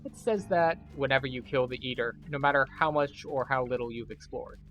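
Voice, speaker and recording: Low-voiced man guiding through a game. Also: a little fast, a bit bright, dull audio, soft background music. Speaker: gameplay guide and streamer. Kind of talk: casual video game walkthrough commentary.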